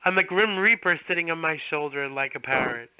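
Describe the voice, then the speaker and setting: A man hosting a podcast, congested with a cold, chatting and joking with halting restarts. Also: fairly high pitch, clear, resonant tone, dry recording. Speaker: podcast host. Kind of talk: casual podcast chat. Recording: dry tinny phone-like line.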